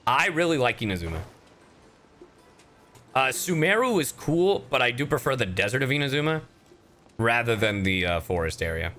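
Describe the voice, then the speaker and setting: A man's voice: mid-range voice, sing-song, moderate pace, pauses often, sharp tone. Male game streamer, chatty and profane, hugely varied intonation, light music bed. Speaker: livestreamer. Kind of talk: casual game commentary.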